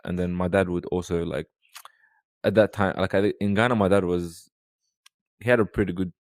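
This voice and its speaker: A man from Sudan, in his thirties, talks through memories in a loose, rambling way. His voice is very low with a gravelly edge. The sound is dry and close, with no room around it. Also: steady tone, moderate pace, dry recording. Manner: rambling, full of fillers like "you know". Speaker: podcast guest. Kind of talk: casual storytelling conversation.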